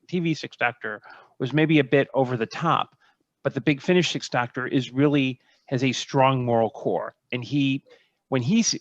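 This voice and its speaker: A man on a discussion panel, speaking casually and thoughtfully, often halting and restating his phrases. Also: medium pitch, no echo, dull audio. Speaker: convention panelist.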